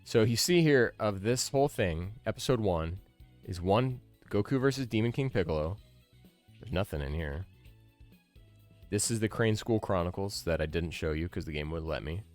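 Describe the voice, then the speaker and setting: A man's voice: low-pitched, expressive, normal speed. A man in his thirties giving casual game commentary as a streamer, with wry, grumbling asides. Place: small indoor room, livestream setup. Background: game music.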